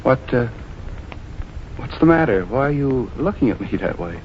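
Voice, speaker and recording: Actor as a nervous young stranger, muffled old radio. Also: male voice, medium pitch, sing-song, moderate pace, close to an everyday voice. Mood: ingratiating, nervous. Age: young adult. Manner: hesitant, apologetic, over-explaining. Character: nervous, shifty, polite young male stranger seeking lodging. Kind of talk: 1940s radio drama acting. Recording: muffled, noisy vintage radio recording.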